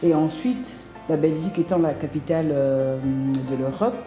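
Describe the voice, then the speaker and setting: A woman with a low, very dark, mellow voice speaks haltingly, pausing on fillers and restarting her sentences. Her voice rings in a roomy, echoey space. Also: faint music behind. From Congo. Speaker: interviewee, hair clinic co-founder. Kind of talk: interview answers. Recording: roomy echo.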